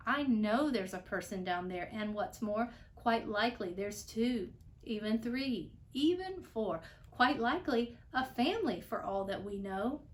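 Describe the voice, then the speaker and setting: A woman's voice: a little high, expressive, a little slow. A woman voicing a big, kind, protective male elephant in a storybook, speaking sincerely and emphatically. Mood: sincere, pleading.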